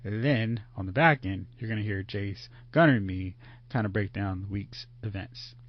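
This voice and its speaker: A man's voice: on the low side, moderate pace. A man hosting a movie podcast, chatting casually and leading the panel with upbeat energy. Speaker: podcast host. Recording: dull lo-fi podcast audio.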